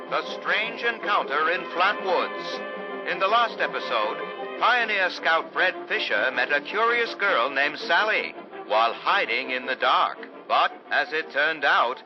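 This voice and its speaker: An actor playing an ominous, theatrical narrator of a vintage radio suspense serial. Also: male voice, a little high. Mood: suspenseful. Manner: theatrical, ominous, deliberate emphasis. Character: dramatic old-time radio host, ominous and theatrical. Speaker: announcer and narrator. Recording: muffled vintage radio sound, loud music.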